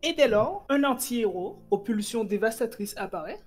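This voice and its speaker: A woman talking casually about a manga, animated and quick in bursts, with many fillers and restarts. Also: fairly high pitch, husky. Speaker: manga reviewer. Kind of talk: casual chat.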